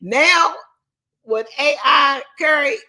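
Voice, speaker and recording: A woman, coaching real estate agents in an animated, emphatic way. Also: high-pitched, slow. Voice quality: raspy. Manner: animated, emphatic, talks straight to the audience. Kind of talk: live online coaching session.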